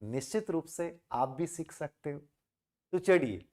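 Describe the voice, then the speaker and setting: A man voicing a seasoned, wise teacher in a moral story, advising in a calm, measured manner. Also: a little high. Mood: reassuring. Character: seasoned male tree-climbing teacher, calm, wise, cautioning.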